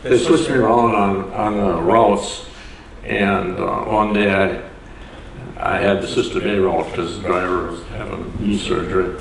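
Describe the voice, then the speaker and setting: A man's voice: low voice, fairly even intonation, measured pace, gravelly, a crowd in the background. An older man from Door County, Wisconsin, telling plainspoken stories as an interview guest in a community hall. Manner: plainspoken, chuckles at his own stories. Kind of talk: unhurried storytelling. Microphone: far from the mic. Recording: echoey hall from far off, noisy.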